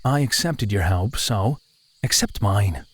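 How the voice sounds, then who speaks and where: Guy doing self-assured teasing hiker, audio drama, slow lowish voice. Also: lively intonation, slightly rough, dry recording, full character voice. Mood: teasing, matter-of-fact. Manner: teasing, unhurried, firm.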